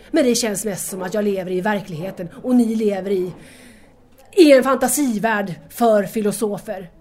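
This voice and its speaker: A woman's voice: fairly high pitch, darkish tone, natural voice. A woman playing a hurt, anxious young woman in an audio drama, speaking earnestly and formally to estranged lovers. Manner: earnest, wounded, formal address.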